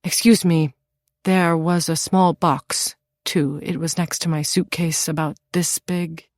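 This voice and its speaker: A woman's voice: deep voice, little pitch movement, slow, dry recording, clean studio sound. Woman doing a timid, worn-out middle-aged man. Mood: hesitant. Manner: quiet, hesitant and resigned.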